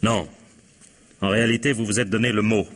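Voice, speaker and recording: Casting: sardonic police detective for vintage radio drama, deep and husky, cuts suspects off. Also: male voice, fairly even intonation, brisk, fairly bright tone. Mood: accusing. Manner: ironic, interrogating, cutting others short. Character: sardonic, domineering police inspector unmasking a killer. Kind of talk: vintage French radio mystery acting.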